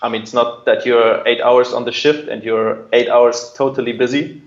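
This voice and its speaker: A man's voice: mid-range voice, level tone, moderate pace, echoey room, lo-fi sound. A man answering interview questions and explaining his work in a conversational way. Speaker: air traffic controller, interview guest.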